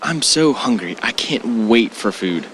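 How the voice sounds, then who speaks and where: A man's voice: medium pitch, expressive, measured pace, fairly bright tone, a little hiss or hum, rough recording. A man acting an affectionate, reassuring young husband in an amateur audio drama, speaking in a casual, slightly hesitant way. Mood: warm, eager. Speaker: actor in an amateur radio drama. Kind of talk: naturalistic audio drama dialogue.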